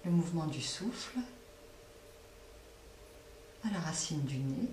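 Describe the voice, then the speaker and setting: A woman leading a guided relaxation as a yoga nidra teacher, speaking softly and unhurriedly with long pauses between phrases. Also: low voice, lively intonation. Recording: roomy echo, distant mic, faint noise.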